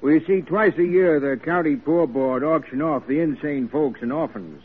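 A man's voice: fairly high pitch, normal speed, slightly rough. An actor playing a folksy, matter-of-fact small-town man in a 1940s radio drama, offhand and a little hesitant. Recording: muffled vintage radio broadcast recording.

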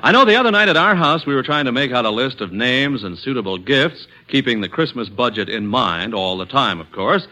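Bright, lively vintage radio pitchman. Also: a little fast, hardly any pauses, rough recording. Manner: emphatic, salesmanlike. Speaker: radio announcer.

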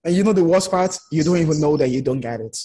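A man with a raspy edge to his voice and a somewhat raised pitch speaks as an interview guest. He tells personal stories in a conversational way, slipping into quoted remarks to show what people said. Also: fast. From outside the United States. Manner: animated retelling with quoted remarks.

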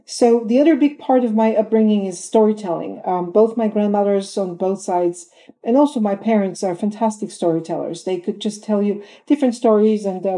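Dark-voiced woman from Bulgaria, thoughtful. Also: medium pitch, poor audio. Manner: thoughtful, many ums and uhs. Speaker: film composer guest. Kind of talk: podcast interview answers.

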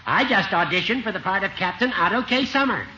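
An actor playing a boastful old small-town judge with a quavering, carrying voice, in vintage radio comedy style. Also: male voice, sits high, expressive, brisk, sharp tone, full character voice. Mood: boastful, needling. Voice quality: quavering, shivery voice that carries. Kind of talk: 1940s-50s radio comedy acting. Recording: dull, hissy old radio recording.

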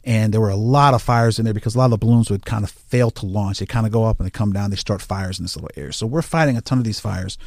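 A man's voice: fairly low pitch, fast, gravelly, hardly any pauses, no echo, studio quality. A man, a firefighter, telling stories in casual conversation and acting out what people said. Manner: animated, acts out quoted lines. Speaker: firefighter guest.

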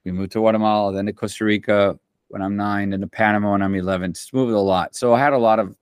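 Man, low voice, relaxed rambling talk, slangy, dry recording. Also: fast, smooth, no room sound. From Central America. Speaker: film and TV composer. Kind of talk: casual interview chat.

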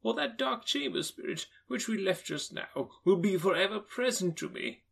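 A man's voice: high voice, expressive, moderate pace, vocal fry, a bit bright, breathy edge, pitched above the performer's own voice, exaggerated voice. Man doing a shaken, pleading old miser.

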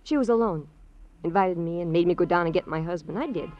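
An actress playing a chatty, grudging housewife in a 1950s radio crime drama, animated, wisecracking and given to mimicry. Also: female voice, on the low side, fast, lightly acted. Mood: animated, indignant. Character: brassy, chatty, grudging housewife, gossipy former neighbor. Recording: dull, dry old radio recording.

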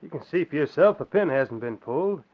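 An actor playing a brusque, self-assured police guard in old-time radio drama style. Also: male voice, fairly high pitch, lively intonation, a little fast. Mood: confident. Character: confident, gruff police guard, reassuring. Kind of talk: 1940s radio acting. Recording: dull muffled old radio recording.

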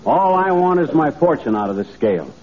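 An actor playing a cheerful, gullible middle-aged braggart in a folksy, chuckling, excitable old-time radio comedy style. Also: male voice, a little high, brisk. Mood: excited, boastful. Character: boastful, cheerful, gullible middle-aged small-town man. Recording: dull, noisy old radio recording.

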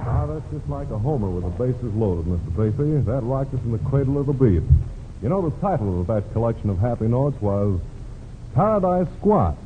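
A man's voice: mid-range voice, sing-song, a little fast. A man hosting a 1940s radio music show with showy, playful rhyming patter in jive slang. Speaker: radio show host, master of ceremonies. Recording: narrow, noisy vintage 1940s radio broadcast.

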